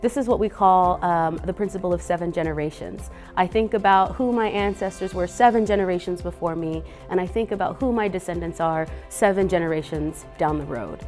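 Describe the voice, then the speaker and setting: A woman's voice: lowish voice, brisk, hardly any pauses, darkish tone, faint music behind. A woman speaking earnestly and unhurriedly to children as a guest reader, with a few fillers. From Boise, Idaho. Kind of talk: gentle talk to kids.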